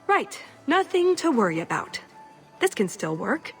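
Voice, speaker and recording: A woman voicing a quick-witted, scheming young elf in a video game cutscene. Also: high voice, big pitch swings, soft background music. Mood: conspiratorial.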